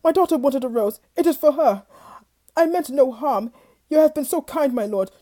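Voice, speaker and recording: A woman's voice: high-pitched, normal speed, mellow, voice pushed up, natural voice. A woman playing a terrified pleading father. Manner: stammering, pleading. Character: frightened pleading older merchant man.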